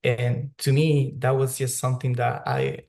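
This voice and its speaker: A man's voice: mid-range voice, steady tone, normal speed, vocal fry, darkish tone. A young man who grew up in Mexico, a student leader giving earnest personal testimony at a news briefing over a remote call. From Mexico (grew up there). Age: young adult. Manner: earnest, personal, some restarts.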